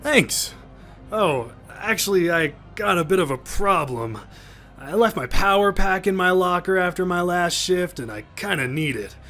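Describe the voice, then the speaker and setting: Animated man as a scrappy little alien hog. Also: sits high, measured pace, faint music behind, full character voice. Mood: pleading. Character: small alien salt hog factory worker, sly and grumbly. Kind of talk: audio drama acting.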